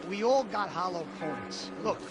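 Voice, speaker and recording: Slangy, high-voiced gunman. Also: lively intonation, measured pace, over music, low-quality recording. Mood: placating, insistent. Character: pragmatic street gunman haggling with his crew. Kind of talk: video game cutscene acting.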